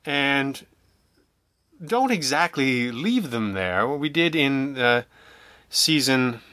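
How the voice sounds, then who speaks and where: Bright-voiced man chatting as an interview guest. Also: mid-range voice, slow pace, dry recording. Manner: animated storyteller, self-deprecating joking, acts out quoted remarks. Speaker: guest, audio drama writer and producer. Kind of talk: casual interview chat.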